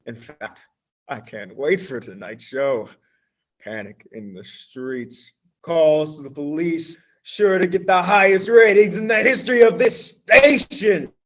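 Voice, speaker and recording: A man's voice: a little high, a little slow, a bit bright. A young man in a school stage play, playing a bossy, grandiose radio play writer who declaims his lines. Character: pompous scheming male radio play writer-director.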